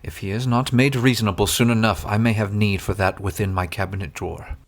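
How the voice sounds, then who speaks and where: An actor playing a stern, protective duke with a formal, measured manner in a period audio drama. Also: male voice, fairly low pitch, fairly even intonation. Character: stern, protective noble duke, courtly host.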